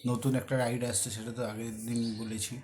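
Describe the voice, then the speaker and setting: A man's voice: mid-range voice, flat delivery, fast, a little mellow. A man with an Indian Bengali accent talking casually as a vlogger during an unboxing, informally mixing in English words. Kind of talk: casual unboxing vlog talk. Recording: very noisy amateur vlog recording.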